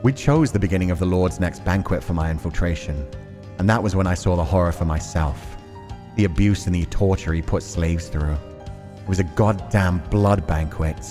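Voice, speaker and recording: Man reading as grim, defiant slum-born schemer, low-voiced. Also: normal speed, music in the background, close to an everyday voice. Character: bitter, determined ambitious man from the slums narrating his past. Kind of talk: dramatic reading of visual novel narration.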